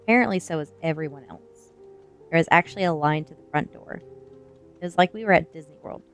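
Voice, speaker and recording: A woman with a low-pitched voice narrates a first-person story as an ASMR reading. Quiet music plays behind her. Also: a little fast. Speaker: streamer reading a novel aloud.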